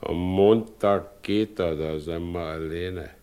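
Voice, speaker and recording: Slow, brooding Silesian carter. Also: male voice, low-pitched, steady tone, dark tone. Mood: grave, resigned. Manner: halting, heavy, brooding. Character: brooding, wounded married carter, stubborn and weary. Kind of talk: naturalistic German radio play acting.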